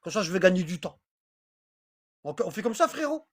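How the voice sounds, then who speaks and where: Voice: mid-range voice, big pitch swings, a little fast. A religious teacher running an informal online class, often repeating phrases and asking listeners if they follow. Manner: repetitive, rambling, checks for understanding; reads aloud from text, then comments.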